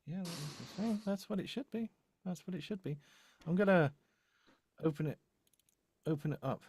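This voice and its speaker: A man chatting casually and haltingly on a video call, often muttering to himself as he works. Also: sits high, expressive, a little mellow, breathy edge. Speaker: co-host and audio producer. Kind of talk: casual live troubleshooting chat. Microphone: microphone on a video call.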